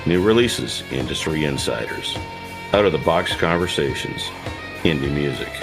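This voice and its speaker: A man's voice: a bass voice, lively intonation, normal speed, gravelly. A man reading a scripted podcast intro as the show's announcer. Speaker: show announcer. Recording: voice over guitar music.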